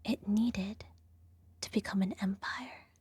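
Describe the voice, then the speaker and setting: Woman doing an evil empress whispering a bedtime story asmr style, super breathy, real slow, regal n smugly self-righteous but soothing. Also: mid-range voice, fairly even intonation, no echo. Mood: solemn storytelling. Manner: regal, measured, gently condescending. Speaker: ASMR roleplay performer.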